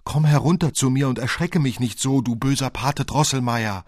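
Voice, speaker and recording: A man voicing a frightened little girl in a fairy tale, calling out in a loud, whiny, pleading way. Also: medium pitch, moderate pace, no echo, studio quality, pitched above the performer's own voice, natural voice. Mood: tearful, frightened.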